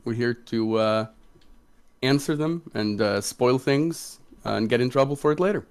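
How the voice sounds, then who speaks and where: A man talks in a casual, conversational way, as a game designer hosting a livestream. His pitch sits in an ordinary middle range for a man. He addresses an online community as he explains and takes questions. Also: normal speed, clean tone. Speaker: tabletop game designer and stream co-host.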